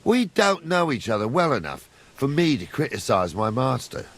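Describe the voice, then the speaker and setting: A gruff older captain in a medieval game, husky n commanding, voice moves around a lot. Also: male voice, medium pitch, sing-song, moderate pace. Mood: guarded. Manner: guarded, plain-spoken, commanding. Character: gruff, fatherly older captain of the guard, man. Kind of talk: video game dialogue acting.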